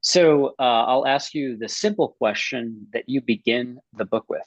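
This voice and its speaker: A man's voice: medium pitch, a little slow, no echo. A man in his thirties puts brief, curious questions to his guest. His voice comes dry and a bit dull over a video call from a small home office. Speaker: podcast host.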